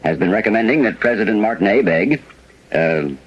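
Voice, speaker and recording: An actor playing a local radio newsreader with an American accent, formal and measured, in 1970s newscast style. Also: male voice, fairly low pitch, lively intonation, husky. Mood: businesslike. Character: routine male radio newsreader at local station. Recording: dull old radio recording.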